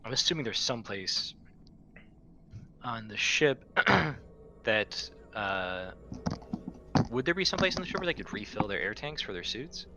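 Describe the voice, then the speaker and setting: A man's voice: medium pitch, sing-song, unhurried, pauses often. A man playing in a tabletop roleplaying game, talking casually with some swearing. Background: game soundtrack music.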